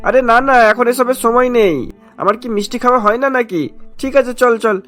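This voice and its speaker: A man voicing an impatient, spoiled rich younger brother in a cartoon, hurried and dismissive, with music behind. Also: extremely high pitch, a bit bright. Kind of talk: cartoon story acting.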